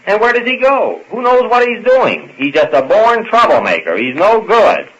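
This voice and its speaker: An actor playing a sardonic, put-upon husband in an old-time radio comedy serial, grumbling dryly. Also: male voice, high voice, wildly swooping pitch, normal speed, fairly bright tone, husky, heavily acted. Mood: exasperated. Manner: dry, sarcastic grumbling. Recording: muffled, faintly noisy old radio recording.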